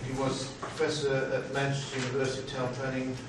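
An older man hosting a seminar with an informal, rambling, halting and jokey introduction. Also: medium pitch, completely flat delivery. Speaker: seminar host introducing a speaker. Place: small seminar room. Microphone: far camera microphone. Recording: distant mic, echoey noisy room.

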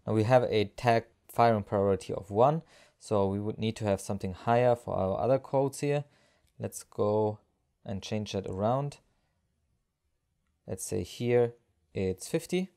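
A man narrating a step-by-step software screencast tutorial as an instructor, explaining each action as he goes. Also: on the low side.

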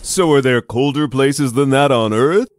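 A man's voice: medium pitch, measured pace. A man hosting a scripted podcast in a jokey, playful way. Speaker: podcast host.

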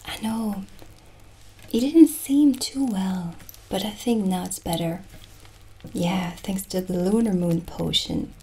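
Casting: woman to play gentle witch potion-seller for ASMR roleplay; hushed, whispery, creaky low-volume delivery at a very slow pace. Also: a little hiss or hum, poor audio. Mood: calm, chatty. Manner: hushed, unhurried, often dropping into whispers. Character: gentle, chatty witch shopkeeper selling potions. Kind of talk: improvised ASMR roleplay, soft-spoken and whispered. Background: original music.

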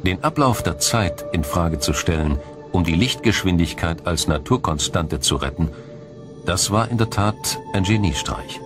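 A man narrating a scripted documentary in a measured, explanatory storytelling manner. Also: low-pitched, lively intonation. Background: music.

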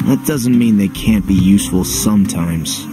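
Man as a cool, low-voiced, wary swordsman. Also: moderate pace, natural voice. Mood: suspicious. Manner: terse, dry, sardonic. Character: cool, suspicious male swordsman, dry and wary. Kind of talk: English video game voice acting. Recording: music behind the voice. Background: game music.

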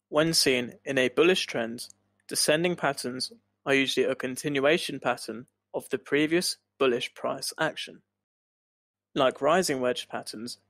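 A man's voice: medium pitch, a bit bright, dry recording. A man narrating a scripted tutorial as an instructor, explaining step by step into a close mic. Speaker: trading instructor. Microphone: close voice-over mic, dry.

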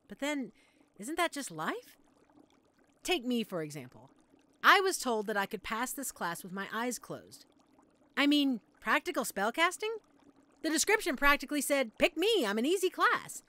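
Woman doing a chatty trainee witch for audio roleplay, rambly n flustered, bright voice that swoops around. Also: fairly high pitch. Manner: theatrical, rambling, expressive. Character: chatty, flustered young witch-in-training running a magic shop. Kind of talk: scripted audio roleplay, addressed to the listener.

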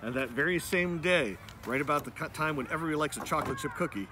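A man's voice: on the high side, quick pace, noisy background. A middle-aged man reading a children's storybook aloud in a dramatic, mock-ominous way. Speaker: nature educator reading aloud.

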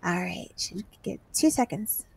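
A woman's voice: fairly low pitch, expressive, unhurried, vocal fry, a little hiss or hum, low-quality recording. A woman playing a friendly, teasing medic in a game roleplay, sometimes dropping to a whisper. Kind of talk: in-character roleplay chat.

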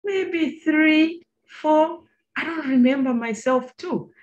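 A woman's voice: high voice, takes it slowly, dull audio. A middle-aged Zambian woman talks through a slide presentation for students, speaking at an easy, chatty clip. She fills her sentences with ums and often turns to her listeners with questions. Her voice comes through a computer microphone on a video call. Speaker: engineer giving a talk to students. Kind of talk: informal presentation over slides.

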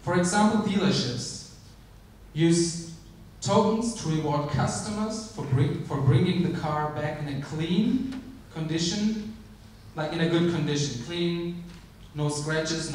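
A man giving a startup pitch on stage, measured, with frequent ums and restarts. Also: darkish tone, slight background noise. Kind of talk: conference pitch. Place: large theater stage. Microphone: handheld mic, filmed from the audience. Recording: echoey hall from far off.

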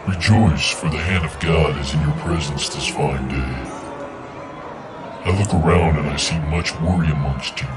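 A man playing a possessed, demonic cult prophet in a horror audio drama, preaching pompously in archaic, menacing language. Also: very deep voice. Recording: loud music behind.

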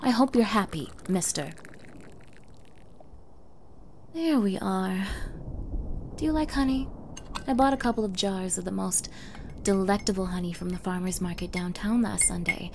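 A woman's voice: medium pitch, normal speed, creaky, a little mellow, a little hiss or hum, lightly acted. A woman playing a sweetly menacing, possessive young girlfriend in an intimate ASMR roleplay, coy and cooing, often dropping into whispers. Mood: sly, teasing. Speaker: roleplay audio performer.

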